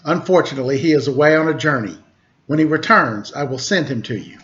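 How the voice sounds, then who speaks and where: A man voicing a calm, reassuring senior monk in a storybook tale. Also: a little high, quick pace, close to an everyday voice. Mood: calm, assured. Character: unruffled senior monk of warrior cat shrine. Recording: dull lo-fi recording.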